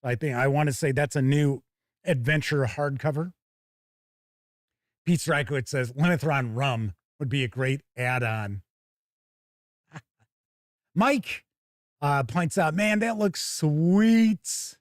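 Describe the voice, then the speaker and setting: A man's voice: fairly high pitch, takes it slowly, long pauses, dry recording, clean studio sound. A man hosting a tabletop gaming news show in a casual, chatty way, reading out items and adding wry asides. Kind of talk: casual live news commentary, reading blurbs and viewer comments.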